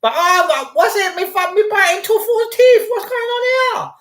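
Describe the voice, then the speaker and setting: A man putting on a high, strained falsetto Japanese man with an exaggerated fake Japanese accent, fussy and whiny. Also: animated, moderate pace, fairly bright tone, roomy sound. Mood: indignant. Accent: exaggerated put-on Japanese accent.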